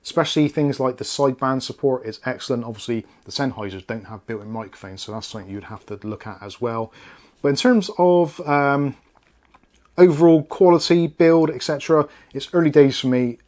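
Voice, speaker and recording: A man with a British accent talks through a product review in a casual, conversational style. His voice sits at a middling pitch. He is frank and measured, as a vlogger speaking to viewers. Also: darkish tone. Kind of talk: casual spoken tech review.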